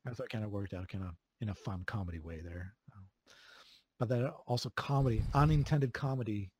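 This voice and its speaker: A man from Sweden with a Swedish accent, chatting casually as an interview guest, relaxed, with many fillers and chuckles. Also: lowish voice, fairly quick, breathy edge. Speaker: video game composer, guest.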